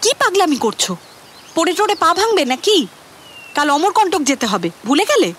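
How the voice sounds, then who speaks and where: An actress playing an exasperated, worried wife who scolds in an animated way in a radio drama. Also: female voice, extremely high pitch, normal speed, a little hiss or hum, exaggerated voice. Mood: scolding, worried.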